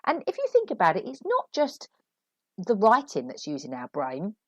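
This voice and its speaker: Lively woman with a cold. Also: mid-range voice, normal speed, no echo. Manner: chatty and animated, laughing asides. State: recovering from a cold, sniffly. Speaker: podcast host and author. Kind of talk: solo podcast advice talk.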